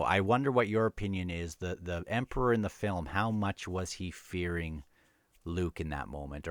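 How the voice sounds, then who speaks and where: A man's voice: low-pitched, no echo, studio quality. A man casually discussing a book and reading passages aloud, often stumbling and repeating phrases as he goes.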